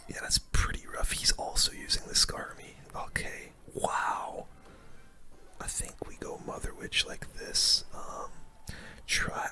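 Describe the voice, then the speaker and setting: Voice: very high voice, sharp tone. A person whispering informal, slangy ASMR commentary over gameplay as the host of a gaming video.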